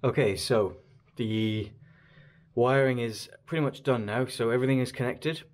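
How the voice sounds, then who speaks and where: A man's voice: mid-range voice, normal speed. A man presenting a casual hands-on vlog in a small boat cabin, explaining his work and thinking aloud hesitantly. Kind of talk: casual explanatory vlog talk while working.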